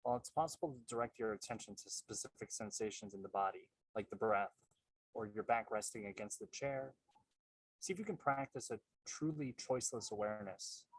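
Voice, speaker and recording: A man leading a guided meditation as coach and host, calm and measured, with a husky voice and unhurried pauses. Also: mid-range voice. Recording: roomy, distant-sounding microphone.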